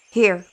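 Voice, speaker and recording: Woman acting a slow-spoken, weary, irritable fantasy artist. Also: mid-range voice, husky. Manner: grumbling, sighing, halting dramatic delivery. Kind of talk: fantasy audio drama acting.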